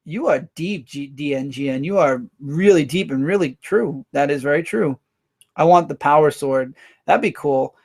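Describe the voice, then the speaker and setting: A man hosting a casual livestream, rambling through viewer comments with quick asides. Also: on the high side, a little mellow. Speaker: livestream host.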